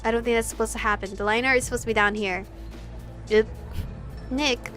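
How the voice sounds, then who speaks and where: Young woman, high voice, gaming host, casual narration and commentary, informal, giggly, soft music behind. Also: fairly even intonation, fairly quick. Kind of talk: casual visual novel playthrough commentary and narration.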